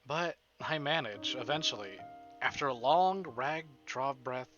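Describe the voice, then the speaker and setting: A man reads a visual novel aloud to a stream in a very bright voice at a middling pitch. He treats the prose as dramatic narration. The audio is a little dull and band-limited, with soft music underneath. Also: lively intonation, a little slow. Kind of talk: dramatic read-aloud narration with casual asides. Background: soft game music.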